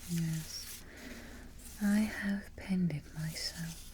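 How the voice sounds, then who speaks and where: A woman playing a haughty evil queen in a soft-spoken, breathy ASMR roleplay, giving imperious orders close to the microphone. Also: lowish voice, fairly even intonation, a little hiss or hum. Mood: imperious, smug. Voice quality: breathy, half-whispered. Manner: slow, deliberate, imperious.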